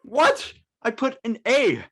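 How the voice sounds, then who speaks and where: A woman's voice: on the high side, huge pitch swings, takes it slowly, exaggerated voice. A woman playing a laid-back, slangy teenage schoolboy in a drama read. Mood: dismayed. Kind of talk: audio drama table read acting.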